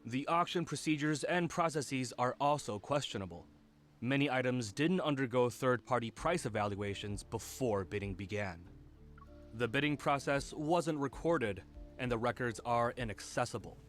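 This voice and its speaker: An actor voicing a methodical, matter-of-fact lawyer in a video game, explaining in a formal and measured way. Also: male voice, mid-range voice, animated, soft background music, lightly acted. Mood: grave.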